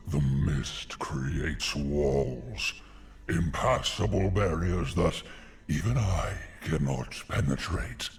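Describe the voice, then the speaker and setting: Ancient tree guardian, extremely slow. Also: male voice, darkish tone, full character voice. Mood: grave, warning. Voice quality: gravelly, deep. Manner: slow, solemn, ominous delivery. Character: ancient giant tree guardian spirit, grave and embittered. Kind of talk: scripted video game acting.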